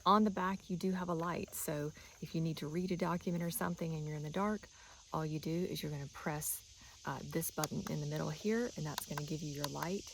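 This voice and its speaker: Creaky, even-toned woman demonstrating a product. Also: low-pitched, a little fast, slight background noise, rough recording. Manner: chatty, instructional. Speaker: product reviewer.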